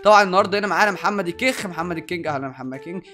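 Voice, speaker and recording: A young man with an Egyptian accent commentating excitedly on Minecraft gameplay, animated and exclamatory as he addresses viewers. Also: high voice, rapid-fire. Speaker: gaming YouTuber and host. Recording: music playing behind the voice.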